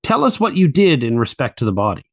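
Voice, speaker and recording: Man playing lively, methodical courtroom prosecutor. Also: medium pitch, quick pace. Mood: formal, probing. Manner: formal, precise questioning. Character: methodical, probing male prosecuting attorney in 1890s courtroom. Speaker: voice actor reading a trial transcript. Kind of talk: dramatized courtroom transcript reading, examination.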